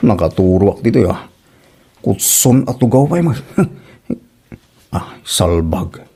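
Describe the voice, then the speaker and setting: Comedy drama role: man as fussy Ilocano family father, dark soft tone, protective, full of exclamations. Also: low voice, lively intonation, slow, gravelly, husky, full character voice. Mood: fussy, grumbling. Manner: exclamatory, repeating short interjections. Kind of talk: comedy radio drama acting.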